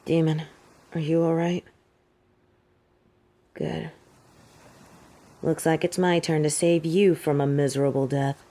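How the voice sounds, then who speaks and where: An actress playing a haughty, possessive fallen angel in an audio drama, with an imperious, cool and disdainful delivery. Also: female voice, low voice, level tone, takes it slowly, pauses often, warm tone. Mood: commanding, protective. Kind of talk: dramatic audio roleplay acting.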